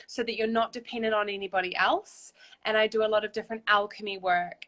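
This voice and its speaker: A woman's voice: mid-range voice, fairly bright tone. A woman with a New Zealand accent, chatting as a guest on a video call, upbeat and chatty. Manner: upbeat, chatty, uses "like" a lot. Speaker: guest, spiritual guide. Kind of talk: casual chat on a video call. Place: outdoors in a hammock.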